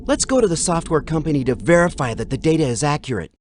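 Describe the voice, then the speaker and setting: Woman as a serious kid adventurer, cartoon game, lowish voice that swings all over. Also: big pitch swings, fast, darkish tone. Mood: urgent. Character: serious, expressive young adventurer. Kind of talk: cartoon computer game acting.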